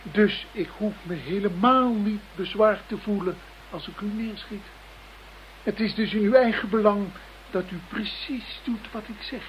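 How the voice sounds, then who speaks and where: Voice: mid-range voice, lo-fi sound, lightly acted. Actor doing a polite but menacing masked blackmailer, radio play, bit of background noise. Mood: cold menace. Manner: formal, deliberate, coolly threatening. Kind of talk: Dutch radio play acting. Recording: noisy radio play recording.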